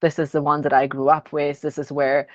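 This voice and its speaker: A woman whose first language is Russian hosts a podcast talk, reflective and steady as she builds long thoughts. Her voice sits very low. The audio is dull and lo-fi. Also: a little fast. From Kazakhstan. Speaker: podcast host. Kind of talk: casual panel discussion.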